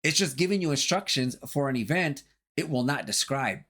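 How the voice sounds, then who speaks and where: Man narrating, lively swooping pitch. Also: moderate pace. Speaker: video essay narrator. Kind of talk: scripted narration analyzing horror.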